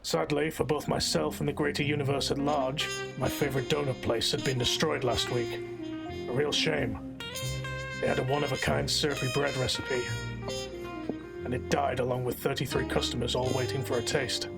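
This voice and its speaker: Man playing a gravelly, brooding noir lawman. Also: mid-range voice, moderate pace, over loud music, lightly acted, low-quality recording. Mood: wry, disgusted. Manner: deadpan, pompous, brooding. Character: hard-boiled, self-important noir lawman, cynical and absurdly deadpan. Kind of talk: noir audio drama first-person narration.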